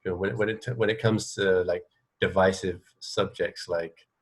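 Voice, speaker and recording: A man's voice: low-pitched, steady tone, normal speed, breathy edge. An English man hosting a casual radio interview, talking in a rambling, hesitant way and often rewording himself. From England. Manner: rambling, hesitant, self-correcting. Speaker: radio show host and interviewer.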